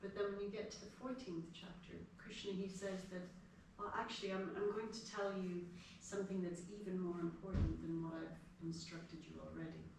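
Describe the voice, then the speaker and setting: A woman's voice: fairly low pitch, flat delivery, fairly quick, vocal fry, few pauses. Irish woman, middle aged, explaining scripture bit by bit, really echoey room w noise behind her. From Ireland. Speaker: guest speaker giving a devotional class. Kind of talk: informal spiritual lecture. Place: house gathering room, indoors. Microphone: distant from the speaker. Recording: echoey room, distant mic, noisy.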